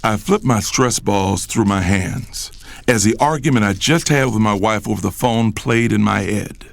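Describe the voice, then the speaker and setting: A man's voice: on the low side, normal speed, vocal fry, no room sound. A man playing a vindictive, controlling businessman and boss, narrating an audio drama in first person with a stern manner. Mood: vindictive, brooding.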